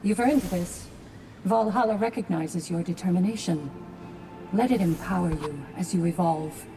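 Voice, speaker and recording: A woman playing a solemn, reflective guide in a video game, confiding her story in a calm, measured manner. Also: lowish voice, over music, natural voice. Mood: solemn reflection. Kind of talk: dramatic video game acting.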